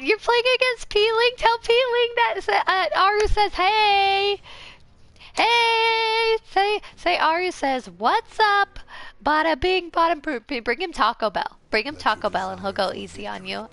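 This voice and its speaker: A young American woman from Ohio streaming a fighting game while sick, coughing now and then. She chats with viewers and squeals and yells at the game. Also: very high-pitched, animated, unhurried, creaky. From Ohio, USA. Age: young adult. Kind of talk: casual game stream chat. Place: home room, indoors. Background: faint game music.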